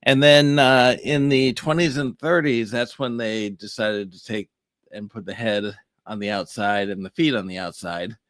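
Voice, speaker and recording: A man's voice: fairly low pitch, fairly even intonation, normal speed, a bit bright, dry recording. A middle-aged man co-hosting a casual chat, laid-back and rambling. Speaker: magician and co-host. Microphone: studio mic.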